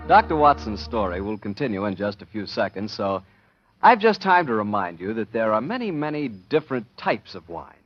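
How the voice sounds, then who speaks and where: A man's voice: fairly low pitch, sing-song, moderate pace. A man with an American accent announcing and selling in the polished style of a 1940s radio sponsor spokesman. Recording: dull, dry vintage radio recording.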